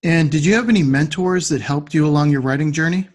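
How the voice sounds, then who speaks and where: A man's voice: fairly high pitch, fast. A man hosting a podcast interview, asking questions and giving short responses.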